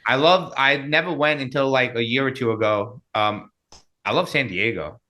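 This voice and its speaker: A young man appears as a podcast guest and chats in a loose, gossipy way. His talk is full of likes and ums. He has a mid-pitched, bright voice. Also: moderate pace. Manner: chatty, gossipy, drops lots of likes and ums. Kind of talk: casual chat.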